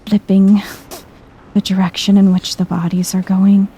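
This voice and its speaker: A soft-spoken woman giving chill, dryly wry ASMR-style commentary while playing a video game. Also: mid-range voice, little pitch movement, measured pace, slight background noise.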